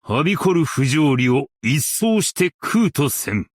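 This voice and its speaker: A man playing a principled, stern yet merciful scholar-strategist in a game, speaking in a formal, archaic and measured way. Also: on the high side, very animated, no echo, studio quality. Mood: commanding, resolute. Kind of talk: Japanese game character voice lines.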